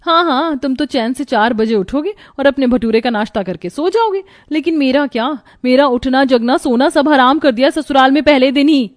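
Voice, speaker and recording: A woman's voice: sits high, moderate pace, hardly any pauses, no room sound, studio quality, natural voice. A woman voicing a young, overworked, exasperated daughter-in-law in a cartoon story, with a dramatic complaining manner. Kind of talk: Hindi cartoon story voice acting.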